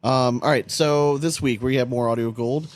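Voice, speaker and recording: A man's voice: mid-range voice, fairly even intonation, normal speed, no echo. A man chatting casually as a podcast co-host. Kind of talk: casual chat.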